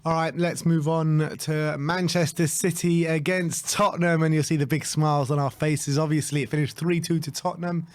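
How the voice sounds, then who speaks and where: A man with a hoarse voice, leading a casual football chat as co-host with enthusiasm. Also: on the high side, fairly even intonation, normal speed, few pauses. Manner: enthusiastic, leads the segments.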